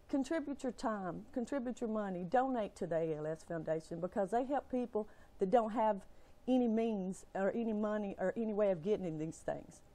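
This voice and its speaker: A woman's voice: medium pitch, warm tone. A woman with a Southern US accent giving an impassioned, exasperated rant in a shaky, upset voice, with folksy, emphatic phrasing. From Alabama, United States. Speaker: family caregiver.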